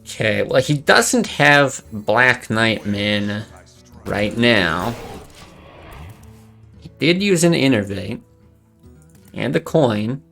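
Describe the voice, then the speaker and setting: A man's voice: medium pitch, big pitch swings, slow, creaky, long pauses, bright, forceful tone, soft background music. A young man streaming a card game, chatting casually and thinking aloud, swearing under his breath now and then. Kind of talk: casual gameplay commentary.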